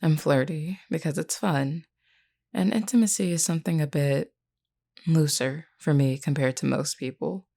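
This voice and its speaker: A woman playing a flirty, frank, self-assured werewolf in an intimate, unhurried audio roleplay spoken to the listener. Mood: frank, firm. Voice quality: low, creaky, mellow. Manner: unhurried, teasing yet earnest, deliberate.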